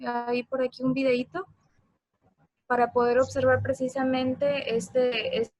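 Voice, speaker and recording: High-pitched woman giving an informal lecture. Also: flat delivery, unhurried, darkish tone, dry recording, poor audio. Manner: explanatory, seeking agreement with frequent tag questions. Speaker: presenter of a parenting talk. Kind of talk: informal online lecture with slides and video.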